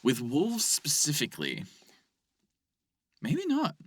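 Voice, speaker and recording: A man hosting an improv comedy podcast, playing scenes with playful deadpan patter and chatting casually. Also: fairly high pitch, slow pace, dry recording.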